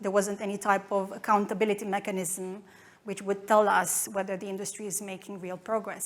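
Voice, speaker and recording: Woman, romanian accent, conference talk, even flat tone, halting with fillers. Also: medium pitch. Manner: hesitant, many fillers and restarts. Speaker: conference speaker.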